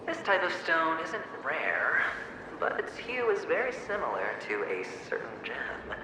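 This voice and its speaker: A taunting echoing inner double. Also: male voice, a little high, wildly swooping pitch, measured pace. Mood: mocking. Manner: taunting, shifts into hissed whispers. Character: mocking inner double of a young man, sly and taunting. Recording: heavy echo, distant, game ambience noise.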